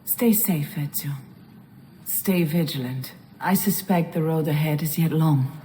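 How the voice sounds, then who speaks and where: A woman playing a worldly Florentine advisor in a video game, calm and deliberate, with an Italian accent. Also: sits low, a little hiss or hum. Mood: composed, caring. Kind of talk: dramatic video game dialogue. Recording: music playing behind the voice.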